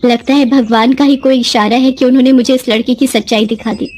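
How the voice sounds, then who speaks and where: Woman as earnest young village girl, high voice. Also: steady tone, brisk. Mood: shocked, worried. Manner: soft-hearted, earnest, respectful. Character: gentle, dutiful young village cousin, earnest and worried.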